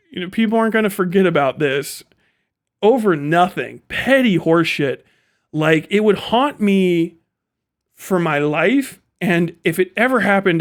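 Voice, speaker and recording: A man's voice: fairly high pitch, clear, resonant tone. A man giving casual, opinionated livestream commentary in a blunt, profane, conversational way. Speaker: streamer and commentator.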